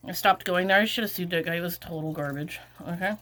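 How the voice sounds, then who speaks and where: A woman's voice: lowish voice, quick pace, fairly bright tone. A sniffly woman rambling through an exasperated casual vlog rant, full of "like" fillers. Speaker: vlogger.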